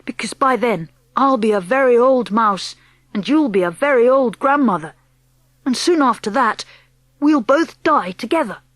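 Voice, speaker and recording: A woman voicing a young boy who has been turned into a mouse, eager and anxious, pleading and excitable. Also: on the high side, normal speed, dry recording.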